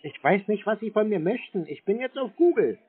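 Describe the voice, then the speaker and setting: Husky man on a phone line. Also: sits high, sing-song, a little fast. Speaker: scam baiter posing as customer. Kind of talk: phone conversation.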